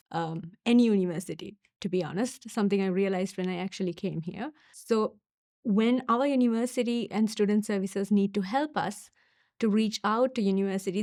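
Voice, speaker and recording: A young woman from Sri Lanka, a university student giving a casual testimonial, thoughtful and unhurried with small pauses and fillers. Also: medium pitch, darkish tone, no echo, clean studio sound.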